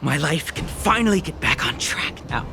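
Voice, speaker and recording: A woman playing an earnest, excitable, grateful young character in an anime-style video game, with a heartfelt, eager manner. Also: low-pitched, normal speed, creaky, background noise. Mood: grateful, warm. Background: music.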